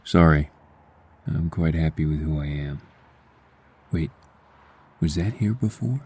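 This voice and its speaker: An actor playing a cocky, hot-headed district attorney in a comic-book audio drama, husky, sardonic and impatient. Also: male voice, very deep voice, slow, darkish tone, full character voice. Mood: guarded.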